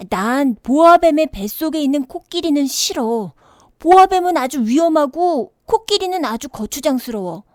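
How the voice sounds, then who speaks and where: A woman voicing a small, mysterious boy in a storybook, gentle and insistent, with childlike, drawn-out phrasing. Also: sits high, lively intonation, dry recording, studio quality, pitched above the performer's own voice. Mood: fussy refusal.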